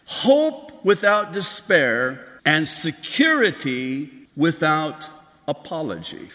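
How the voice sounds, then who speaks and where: Male pastor, slow and emphatic sermon delivery, lively pitch, reverberant hall. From Lebanon. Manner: emphatic, building to raised outbursts. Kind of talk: preaching a prophecy sermon.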